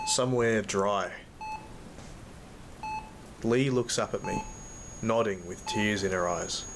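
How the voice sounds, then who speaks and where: Wounded worn-out man telling his story, lowish voice, slow broken phrases, really noisy audio drama. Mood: sorrowful, tender. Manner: halting, broken into short fragments. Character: badly injured man, weary, in pain, recounting his ordeal. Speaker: narrator and lead character. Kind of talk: first-person audio drama narration. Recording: loud noise behind the voice.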